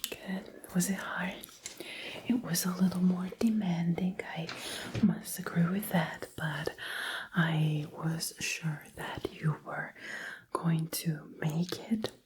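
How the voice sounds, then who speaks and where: A woman in an ASMR medical roleplay, speaking as a nurse in a breathy whisper and giving gentle, reassuring instructions close to the microphone. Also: lowish voice, slight background noise. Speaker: ASMR performer playing a nurse giving tests. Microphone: close ear-to-ear ASMR microphone.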